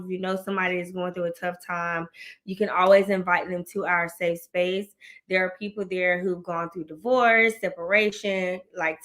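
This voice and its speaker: A woman in her thirties hosting a casual chat show, excitable and chatty, laughing often and using slang. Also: mid-range voice. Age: 30s. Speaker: host.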